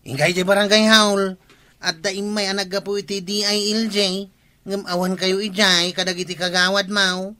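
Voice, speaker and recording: A man's voice: high voice, little pitch movement, moderate pace, sharp tone. An actor in a comedy radio drama playing an earnest, outspoken younger village man who questions and explains. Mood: excited.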